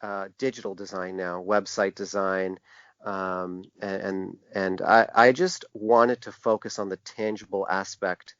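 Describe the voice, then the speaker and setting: A man answering interview questions as a designer, in a conversational but halting way, often restarting his phrases. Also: sits low, flat delivery, fairly bright tone, clear, resonant tone, no echo. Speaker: podcast guest, book designer. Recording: dull, band-limited lo-fi sound.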